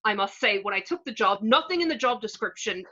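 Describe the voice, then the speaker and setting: A woman playing a vain, cowardly male wizard professor in a script read, pompous, halting and blustering. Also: mid-range voice, lively intonation, sharp tone, highs cut off. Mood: flustered. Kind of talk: amateur table read of a film script.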